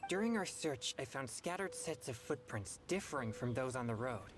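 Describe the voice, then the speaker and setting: An actor playing an earnest, observant teenage boy in a video game, serious and measured. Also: medium pitch, expressive, close to an everyday voice. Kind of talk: anime-style video game voice acting. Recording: music behind the voice.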